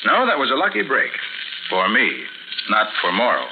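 An actor playing a shrewd, self-assured private detective in a 1940s radio drama. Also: male voice, medium pitch, wildly swooping pitch, normal speed. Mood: explaining, assured. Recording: tinny, noisy 1940s radio recording.

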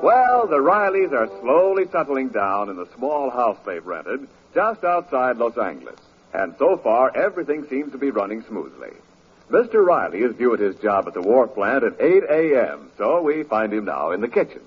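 A man's voice: mid-range voice, sing-song, moderate pace, breathy edge, soft background music. An American man announcing and narrating a scripted 1940s radio show. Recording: dull old radio broadcast recording.

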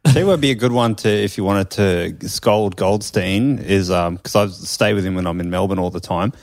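A man's voice: fairly quick, a little mellow, dry recording. A man co-hosting a casual podcast chat, teasing his friends and laughing at his own stories. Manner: teasing, giggly, rising to high pitch when amused.

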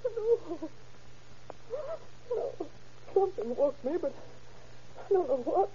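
An actress playing a shaken woman witness in an old-time radio crime drama, speaking in halting, repeated phrases. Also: extremely high pitch, sing-song, dark tone, heavily acted. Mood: tearful, distraught. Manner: halting, faltering, repeating herself. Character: shocked, distraught woman witness to a murder. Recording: muffled, noisy old radio recording.

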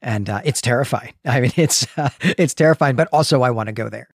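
A man's voice: mid-range voice, a little fast, no room sound. Articulate man hosting, dry close sound. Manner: articulate, explanatory, tags points with "right?". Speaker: podcast host. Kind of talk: literary discussion and story recap.

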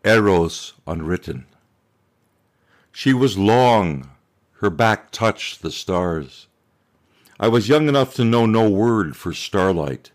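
A man with a very low voice reads poetry at an unhurried, slow pace. His delivery is solemn and measured, each phrase set apart. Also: animated. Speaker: poet. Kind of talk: poetry recitation.

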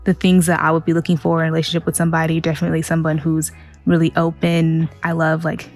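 A young woman in her twenties, a dating show contestant, talking casually and relaxed with many fillers. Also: low-pitched, quick pace, soft background music. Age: 20s. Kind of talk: casual chat.

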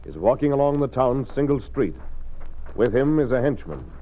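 A man's voice: mid-range voice, sing-song, normal speed. A man narrating an old-time radio program as announcer in a dramatic, declamatory manner. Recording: muffled vintage radio with organ music.

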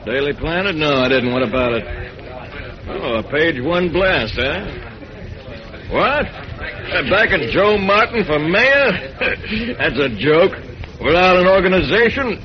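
A man's voice: on the high side, lively intonation, slow pace, pauses often, crowd noise. An actor playing a crooked, calculating middle-aged American political boss, with slangy, dismissive tough-guy talk in a 1940s radio drama. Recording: muffled old radio recording, busy room murmur.

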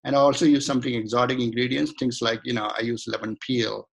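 A man's voice: medium pitch, steady tone, quick pace, vocal fry, husky, rough recording. A middle-aged man from India, a guest in a casual interview, talking in a rambling way with many restarts and fillers. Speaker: guest, home cook and shaving-product maker.

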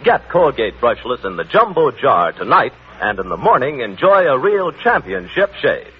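Old radio ad guy, american, raspy edge, lively salesman delivery, dull vintage sound. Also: medium pitch, moderate pace. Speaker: radio announcer. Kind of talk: 1940s radio commercial announcing. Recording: muffled vintage 1940s radio broadcast.

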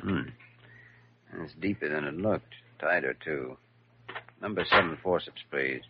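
An actor in an old radio drama playing an earnest, considerate young doctor with a mild manner, on a muffled, noisy recording. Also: male voice, extremely low pitch, measured pace. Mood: concentrated.

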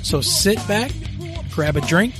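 A man co-hosting a podcast, chatty and upbeat in casual talk, quick to laugh. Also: sits high, sing-song, over music. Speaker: podcast co-host.

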